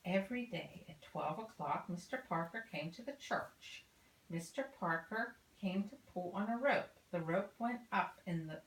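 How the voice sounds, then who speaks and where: An older woman reading a children's story expressively, as a grandmother would, deliberately and with drawn-out emphasis on key words. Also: mid-range voice. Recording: roomy home recording, faint noise.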